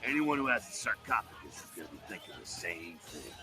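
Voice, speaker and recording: A sly, drawling vampire rebel leader. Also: male voice, low voice, very animated, brisk. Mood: wry. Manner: drawling, conspiratorial, calls the player kiddo. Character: streetwise, sardonic male vampire rebel leader. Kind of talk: video game voice acting. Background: game music.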